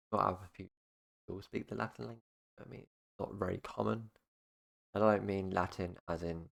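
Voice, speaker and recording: A man's voice: sits low, gravelly. A young British man, talking casually to camera and rambling through facts, with many fillers and restarts. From United Kingdom. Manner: rambling, full of fillers like "obviously" and "basically". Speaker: YouTuber. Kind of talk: casual explainer monologue.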